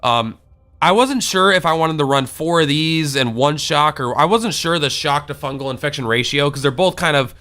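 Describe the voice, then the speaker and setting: Stuffy-nosed man streamer, bright voice. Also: on the high side, moderate pace. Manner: rambling, many fillers and self-corrections. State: stuffy and congested from a cold. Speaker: game streamer. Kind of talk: casual deck guide.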